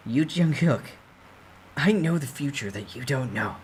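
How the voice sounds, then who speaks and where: A man's voice: mid-range voice, expressive, measured pace, slight background noise, lightly acted. A man voicing a bold, calculating young man who speaks persuasively in a dramatic novel reading. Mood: assertive.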